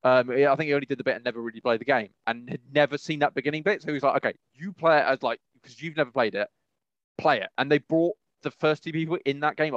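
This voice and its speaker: A man's voice: medium pitch, a bit bright, dry recording, lo-fi sound. A man chatting casually as a podcast co-host in a rambling, enthusiastic way. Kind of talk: casual chat.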